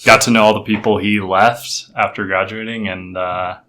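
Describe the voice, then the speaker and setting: A young man from Colorado, a podcast guest telling his story in a halting, casual way with many fillers. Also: on the low side, level tone, sharp tone. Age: 20s. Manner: halting, many fillers and restarts, laughs easily.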